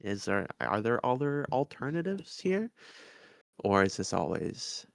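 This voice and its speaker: A man's voice: lowish voice, big pitch swings, unhurried, gravelly, no room sound, clean studio sound. A man co-hosting a podcast in casual conversation, hesitant and often searching for words or trailing off. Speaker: podcast co-host. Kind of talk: casual review discussion of an audio drama.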